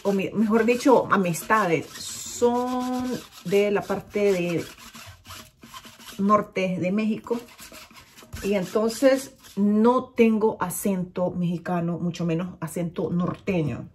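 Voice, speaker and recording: A woman's voice: mid-range voice, takes it slowly, darkish tone, a little hiss or hum. A woman in her thirties from Nicaragua with a mild Nicaraguan Spanish accent, chatting to the camera from her bedroom, filling her talk with fillers and restarts. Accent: Nicaraguan Spanish, fairly neutral. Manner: chatty, gestures a lot, many fillers and restarts. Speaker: vlogger. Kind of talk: casual chat to camera. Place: bedroom at home, indoors. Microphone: camera at desk distance.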